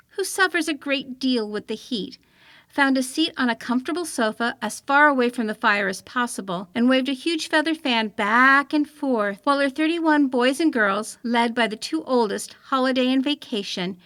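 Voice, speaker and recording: A woman reads a children's story aloud in a high voice. Her delivery is expressive and clearly scripted narration. The sound is dry, with very little room on it. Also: moderate pace, no echo. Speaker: story reader.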